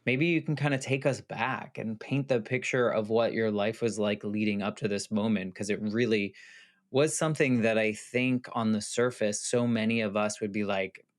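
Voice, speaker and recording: A man's voice: on the low side, normal speed. A man hosting a podcast interview, thanking his guest and posing loose, appreciative questions. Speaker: podcast host.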